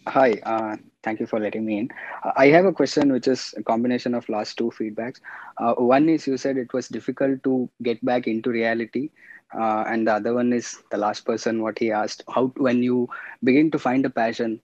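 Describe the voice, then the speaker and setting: A man's voice: medium pitch, fairly even intonation, brisk, darkish tone, no echo, dull audio. A man calling in politely and prefacing his words with uh's. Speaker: audience caller. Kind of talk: casual question.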